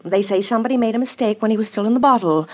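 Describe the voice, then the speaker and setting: An actress playing a gossipy, conformist friend who chides in an old radio drama style. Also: female voice, mid-range voice, racing, a little mellow, natural voice. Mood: scandalized gossip. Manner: chiding, gossipy. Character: gossipy, conformist female friend in a futuristic society. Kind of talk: 1950s radio drama acting.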